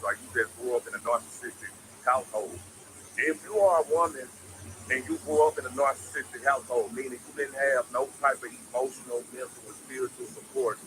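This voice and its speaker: A man's voice: medium pitch, noisy background. A man, giving a casual, preachy motivational monologue full of repetition and emphasis. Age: 20s. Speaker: motivational vlogger.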